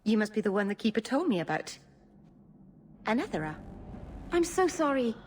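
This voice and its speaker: A woman's voice: fairly high pitch, very animated, moderate pace, a little mellow. A woman voicing an earnest young female elf mage in a video game, hesitant and apologetic, tending to babble and interrupt herself. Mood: flustered, apologetic. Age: young adult.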